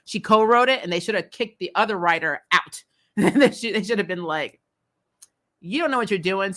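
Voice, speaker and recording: A woman hosting a casual, opinionated TV recap, talking in an animated, exasperated way with swearing and frequent laughter. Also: on the low side. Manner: acts out imagined dialogue. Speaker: host of a TV recap show. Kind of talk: casual opinionated review commentary.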